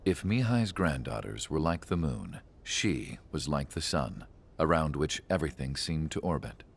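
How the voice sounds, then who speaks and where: A man playing a solemn scientist who narrates a video game story in a measured, reflective way. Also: very deep voice, expressive, breathy edge, full character voice. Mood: wistful. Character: solemn older male research scientist recalling an ace pilot. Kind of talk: scripted dramatic cutscene narration.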